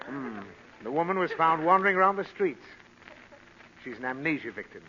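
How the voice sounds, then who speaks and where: An exasperated father acted by a man with a highish, bright voice that jumps about in fussy emphasis. It is old 1940s radio comedy, narrow and faintly noisy. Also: animated, normal speed, close to an everyday voice. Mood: explaining. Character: exasperated, easily flustered father of a mischievous girl.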